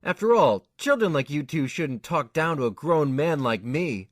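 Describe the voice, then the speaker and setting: A man's voice: a little high, expressive, moderate pace, no room sound, clean studio sound. A man playing an insecure, defensive young student in an amateur anime audio drama, earnest and a little whiny. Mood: indignant.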